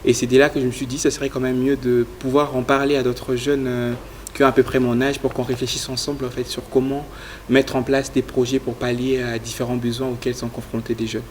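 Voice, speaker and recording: A man's voice: medium pitch, a little fast, slight background noise. A young man from Congo, a guest explaining his youth leadership project in an interview, earnest and full of fillers. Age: young adult. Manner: earnest, speaks in a flat even tone with frequent fillers. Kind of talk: studio interview answers.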